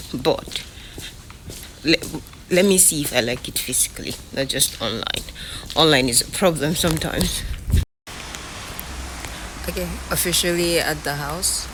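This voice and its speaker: Young woman vlogger, deep-ish voice, casual storyteller; phone at arm's length, heavy traffic noise. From Nigeria. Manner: chatty, laughs often between lines. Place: outdoors near a road. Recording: noisy street recording with passing traffic.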